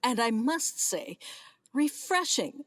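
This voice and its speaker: A woman's voice: high-pitched, big pitch swings, slow, no room sound, exaggerated voice. A woman acting a gracious, commanding, flirtatious vineyard owner with genteel, theatrical emphasis in a comedic radio play. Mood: charmed.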